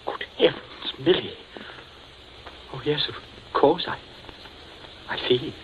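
An actor playing a blustering, nervous gentleman who stammers and flusters, in a 1940s radio suspense drama with a muffled, noisy recording. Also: on the high side, slow. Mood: shocked, uneasy.

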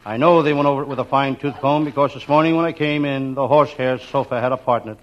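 Deadpan radio private eye, muffled and crackly man acting. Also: medium pitch, fast, close to an everyday voice. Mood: deadpan, wry. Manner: deadpan, slangy, punchline delivery. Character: wisecracking, down-on-his-luck hardboiled private detective. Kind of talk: 1940s radio comedy acting, scripted first-person narration. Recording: muffled, very noisy old radio recording.

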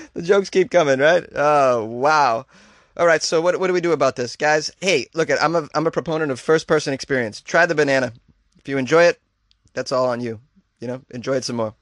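A man's voice: a little high, fairly bright tone, dry recording, studio quality. Jokey man riffing on odd news. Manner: jokey, laughs at his own jokes; mock-incredulous asides. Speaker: host. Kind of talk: comedic news reading and riffing.

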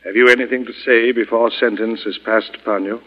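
An actor playing a solemn, stern judge pronouncing in formal, measured tones, in old radio drama style. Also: male voice, mid-range voice, fairly even intonation, natural voice. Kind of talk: 1940s radio acting. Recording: echoing, muffled, hissy old radio.

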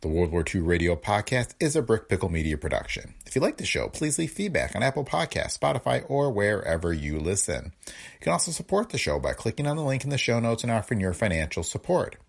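Podcast host, man, lively pitch, husky, gravelly. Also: quick pace. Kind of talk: podcast introduction.

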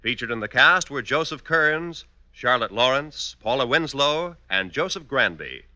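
An American male announcer reads in scripted 1950s radio style. His mid-pitched voice is very bright and animated, recorded close and dry. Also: a little slow, no room sound.

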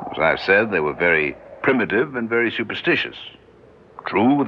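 A very deep-voiced alien doctor of science, detached and deliberate. He explains things in a measured, pedantic way, as in classic radio drama. The recording is muffled and hissy. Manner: measured, pedantic, hesitant at times. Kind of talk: old-time radio drama acting.

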